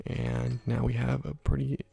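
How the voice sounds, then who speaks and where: Hushed, husky, crackly ASMR man. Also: medium pitch, little pitch movement, unhurried, soft background music. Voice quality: hushed, breathy, partly whispered. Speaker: gaming video host. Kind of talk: ASMR let's play commentary, soft-spoken. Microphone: close microphone.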